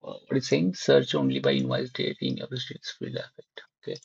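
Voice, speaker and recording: A man with a husky voice talks through a software task as an instructor, in halting fragments as he thinks aloud. The recording is dry and somewhat dull. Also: medium pitch, huge pitch swings, no room sound. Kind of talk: unscripted screen-share walkthrough.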